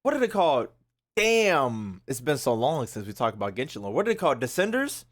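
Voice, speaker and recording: A man streaming casually to his chat, profane and slangy, thinking out loud as he talks. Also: medium pitch, big pitch swings, fairly quick. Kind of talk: casual live commentary to chat.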